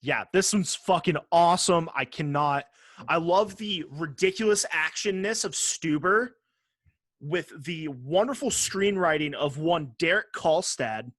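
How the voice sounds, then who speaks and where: A man with a slightly high-set voice talks as a podcast host. He is casual and slangy, swears freely and states opinions with emphasis. The sound is dry and close. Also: measured pace, a bit bright, dry recording. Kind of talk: casual podcast chat about movies and games.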